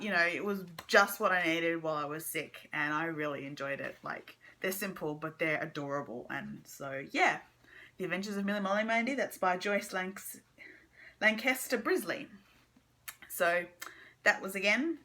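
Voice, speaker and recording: Woman, bright clear-cut tone, mid-range pitch, casual book vlog, chatty, informal, enthusiastic. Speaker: book vlogger giving a monthly reading wrap-up.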